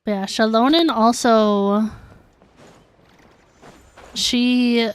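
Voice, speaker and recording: A woman's voice: a little high, steady tone, extremely slow, smooth. A woman livestreaming a video game, chatting casually and thinking aloud as she answers viewers. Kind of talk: casual chat while playing a video game.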